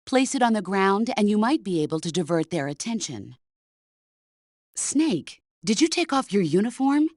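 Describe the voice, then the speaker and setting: A woman's voice: mid-range voice, very animated, moderate pace, darkish tone, no room sound, clean studio sound. A middle-aged woman playing a stern, commanding veteran soldier who mentors her former protégé in a video game. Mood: exasperated, scolding. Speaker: military mentor character. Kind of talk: video game voice acting, radio briefing dialogue.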